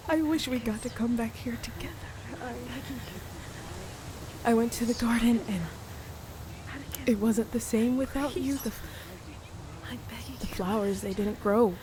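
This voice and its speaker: A woman acting as an anguished, fragile young daughter in a radio play, halting and pleading as she speaks. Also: a little high, background noise, close to an everyday voice. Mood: grieving, apologetic. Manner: halting, breathless pleading with repeated words.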